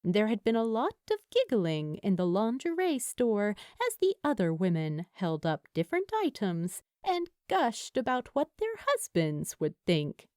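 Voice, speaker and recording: Slow, expressive woman narrating, close and dry. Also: medium pitch, no echo. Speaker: fan fiction narrator. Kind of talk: scripted story narration.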